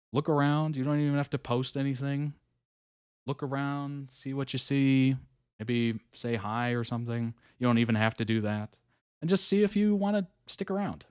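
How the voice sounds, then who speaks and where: A man's voice: mid-range voice, no echo, clean studio sound. A man hosting a live reaction stream, chatting casually with viewers, laughing often and using lots of fillers.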